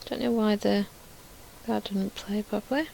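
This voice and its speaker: A woman's voice: medium pitch, fairly even intonation, moderate pace, vocal fry, warm tone, a little hiss or hum. A woman giving casual screen demo commentary as a creator showing her own project, rambling and thinking aloud with some self-deprecation.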